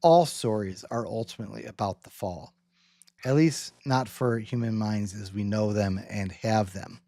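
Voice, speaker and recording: A man's voice: lowish voice, creaky, warm tone, no echo. Man talking ideas through, dry close sound. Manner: halting, rambling phrasing with rhetorical check-ins. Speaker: host. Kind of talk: casual theological discussion.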